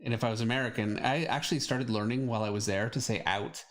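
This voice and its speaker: A man's voice: medium pitch, fast. Casual Canadian man chatting while drawing. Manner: relaxed and rambling, with many fillers and restarts. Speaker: comic artist drawing on a live stream.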